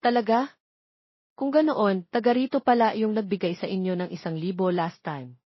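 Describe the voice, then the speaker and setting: A woman's voice: mid-range voice, moderate pace, dry recording, highs cut off, close to an everyday voice. A woman voicing a caring young mother in an audio drama, fondly chiding and a little fretful. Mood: warm, relaxed. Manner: fond, mildly fretful, chiding. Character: caring young mother, gently scolding her twin sons.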